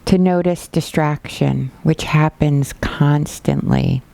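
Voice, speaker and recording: A woman teaching a guided body scan meditation, giving gentle, unhurried instructions with pauses. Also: sits low, steady tone, gravelly.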